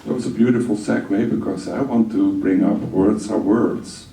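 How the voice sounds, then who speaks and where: A man with a Dutch accent explains his point in a measured way, his low voice gravelly and very dark. He sits at a distance from the mic in a roomy meeting hall, with a light hum of background noise. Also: fairly even intonation. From Netherlands. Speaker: audience member. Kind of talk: meeting discussion.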